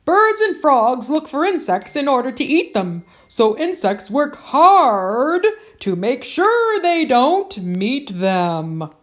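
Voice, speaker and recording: Slow, very animated woman reading a storybook. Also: high-pitched. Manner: theatrical, emphatic, playful with sound words. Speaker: children's book reader. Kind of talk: expressive picture-book read-aloud.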